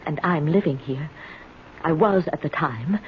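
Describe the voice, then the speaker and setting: A woman's voice: low voice, measured pace. An actress playing an older, timid, lonely spinster in a radio drama, faltering and plaintive as she repeats herself. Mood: insistent. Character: frantic, lonely retired spinster, timid and pleading. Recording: muffled, hissy old radio recording.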